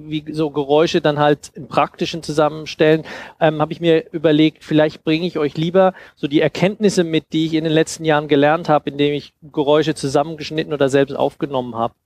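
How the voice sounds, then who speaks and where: A man in his thirties with a slightly high voice gives an informal talk. He explains things in a conversational way, as a speaker at a small event would, into a headset microphone. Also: steady tone, a little fast, darkish tone. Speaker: festival speaker, sound designer. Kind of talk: informal lecture with audience questions. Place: indoor festival stage with couch.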